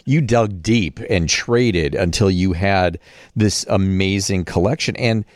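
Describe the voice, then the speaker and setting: Low voiced american guy hosting a radio interview, chatty, really dry studio sound. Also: slow, no echo. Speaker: radio host.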